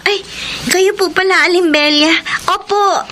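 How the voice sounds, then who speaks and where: A woman's voice: extremely high pitch, a little slow, bright, forceful tone, a little hiss or hum, exaggerated voice. A woman playing an earnest, loyal young Filipino granddaughter in a radio drama, with youthful delivery. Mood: respectful, earnest.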